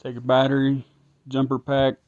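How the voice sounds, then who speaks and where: A man's voice: medium pitch, completely flat delivery, moderate pace, darkish tone, no echo, dull audio. A man thinking aloud as he tests an engine at his garage workbench, casual and a bit frustrated. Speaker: home mechanic vlogger. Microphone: phone camera held close.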